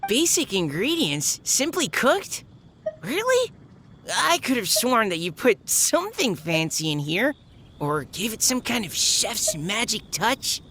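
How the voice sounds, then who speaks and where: An actress playing a curious, expressive young woman in a video game cutscene, earnest and questioning. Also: on the high side, unhurried, a little hiss or hum. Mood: curious disbelief.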